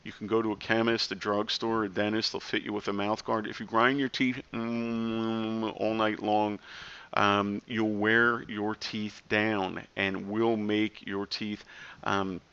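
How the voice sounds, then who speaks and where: A man's voice: on the low side, little pitch movement, unhurried, pushed and bright, clear, resonant tone, muffled recording. A man in his forties giving casual, rambling advice to camera with chatty self-deprecating asides. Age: 40s. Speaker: health vlogger.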